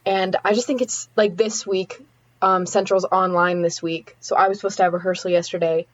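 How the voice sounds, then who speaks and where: A woman's voice: fairly low pitch, dry recording, muffled recording. A teenage girl, a student guest, answering in a chatty, earnest way with lots of "like". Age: teens. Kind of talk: casual interview answer.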